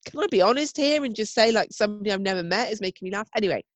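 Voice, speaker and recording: A woman's voice: medium pitch, animated, racing, no echo. A woman co-hosting a podcast, a bit wheezy with a cough, telling a story in a chatty way. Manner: chatty storytelling with frequent "oh my God" and laughs. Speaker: podcast co-host. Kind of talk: casual chat.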